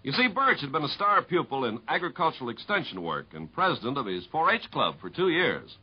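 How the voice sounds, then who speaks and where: A man's voice: mid-range voice, breathy edge. An American man working as a 1950s radio announcer, reading scripted narration. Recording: muffled vintage radio recording, faint music.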